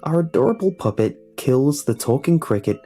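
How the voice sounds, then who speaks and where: A male narrator with a dark, relaxed voice of average pitch reads a script in documentary style. His delivery is measured and polished, and music plays behind him. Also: husky. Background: background music.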